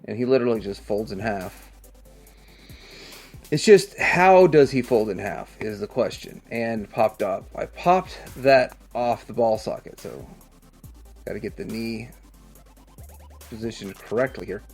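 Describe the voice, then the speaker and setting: A man's voice: lowish voice, animated, measured pace, pauses often, darkish tone, soft background music. A man hosting a casual hands-on toy review, rambling and thinking aloud as he goes. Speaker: toy review channel host.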